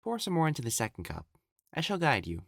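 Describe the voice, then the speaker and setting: A man's voice: a little high, huge pitch swings, fast talker, vocal fry, no echo, studio quality, heavily acted. A man voicing an elegant, effeminate male matchmaker in a scripted audio roleplay, prim and formal, haughty yet easily flustered. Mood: haughty, instructive.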